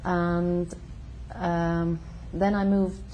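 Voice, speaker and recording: Low-voiced Swedish-born woman, hesitant. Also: level tone, mellow, slight background noise. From Sweden. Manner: halting, hesitant, with many fillers. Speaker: interview guest. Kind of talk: conversational interview. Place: home.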